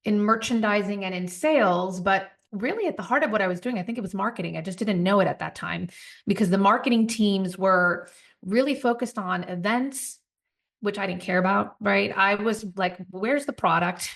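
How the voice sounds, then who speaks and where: A woman's voice: medium pitch, moderate pace, creaky. A woman in her forties from Puerto Rico, a company founder telling her career story as a podcast guest in a reflective, storytelling manner. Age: 40s. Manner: reflective, storytelling, animated asides. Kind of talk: podcast interview.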